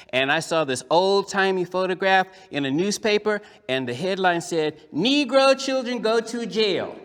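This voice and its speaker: Man voicing an animated puppet boy in echoey hall. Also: sits high. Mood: amazed. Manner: emphatic, deliberate pauses, conversational address to children. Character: DeAndre, lively cheeky young boy puppet telling his grandfather's story. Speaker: puppeteer and storyteller. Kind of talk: theatrical puppet show storytelling. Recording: echoey hall, long reverb.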